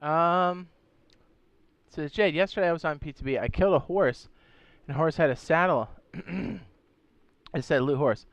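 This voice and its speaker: A man streaming a game, chatting casually and reading viewer comments, hoarse with a sore throat and losing his voice, often clearing his throat. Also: a little high, moderate pace, pauses often, no room sound, dull audio. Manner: laid-back, slangy, frequent throat clearing.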